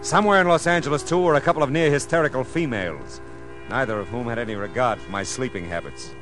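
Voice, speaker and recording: An actor playing a weary, wry, hard-boiled private detective in a 1940s-style radio drama. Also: male voice, mid-range voice, fast, over music, natural voice. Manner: wry, dry, world-weary. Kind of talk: 1940s radio drama acting, first-person narration. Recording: noisy vintage radio drama recording.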